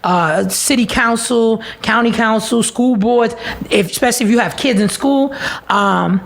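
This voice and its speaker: Emphatic gravelly woman. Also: a little high, a little slow. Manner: emphatic, preachy, repeats phrases. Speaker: political candidate, guest. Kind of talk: casual podcast conversation.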